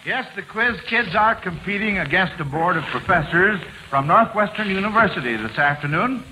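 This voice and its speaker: High-voiced American quizmaster on hissy old radio. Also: male voice, sharp tone. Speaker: quiz show host. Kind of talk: old-time radio quiz hosting. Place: university auditorium. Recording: hissy, noisy vintage radio broadcast.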